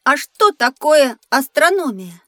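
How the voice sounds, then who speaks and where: A woman's voice: high voice, unhurried, no echo, studio quality, full character voice. A woman voicing a naive, curious young schoolboy who tends to whine, in an educational audio play. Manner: childlike, earnest, expressive. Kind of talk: scripted educational audio drama.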